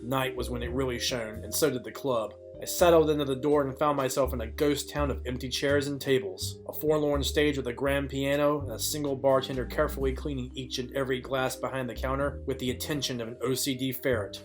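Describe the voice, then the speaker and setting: A man's voice: medium pitch, fairly even intonation, a little fast, hardly any pauses, music in the background, natural voice. A man playing a wry, businesslike private detective narrating and questioning in a noir audio play. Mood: wry, brooding.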